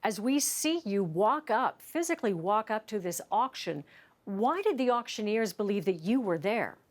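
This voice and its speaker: Measured woman news host. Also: mid-range voice, animated. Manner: measured, clear newsreader delivery. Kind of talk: news narration and interview questions.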